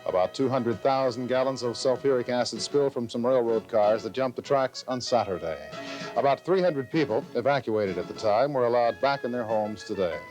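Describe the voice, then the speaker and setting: Male news anchor, mid-pitched, 1980s local TV newscast read, faint music bed. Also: animated, moderate pace, darkish tone, rough recording.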